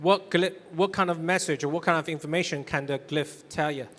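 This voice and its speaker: A man's voice: fairly high pitch, fairly quick, a bit bright. A man moderating an onstage interview, asking questions in a conversational way. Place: stage at a tech expo. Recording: reverberant hall sound.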